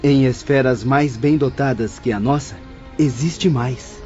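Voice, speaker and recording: A man playing a kindly, reverent spirit guide in an audiobook drama, speaking gently and solemnly. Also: mid-range voice, measured pace, lightly acted. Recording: dull lo-fi audio under clear music.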